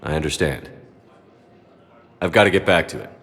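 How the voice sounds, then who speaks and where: An actor playing a steadfast, protective military commander in a video game, with a terse, grave and restrained delivery. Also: male voice, very deep voice, normal speed, fairly bright tone, long reverb tail. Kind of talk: dramatic video game acting.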